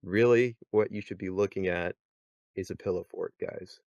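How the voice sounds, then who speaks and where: A man hosts a podcast in easy, chatty talk with a lowish voice. The sound is dry and close, with no room around it. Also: normal speed, vocal fry, no room sound, highs cut off. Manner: relaxed, chatty, laughs often. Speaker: podcast host. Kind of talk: casual podcast chat.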